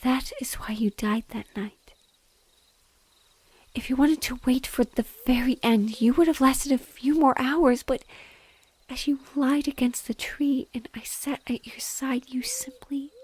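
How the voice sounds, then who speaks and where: A woman voicing a mournful, gently loving banshee in a breathy whisper, slow and tender, as in an intimate audio roleplay. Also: high-pitched, steady tone. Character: sorrowful female banshee, gentle and lovingly devoted.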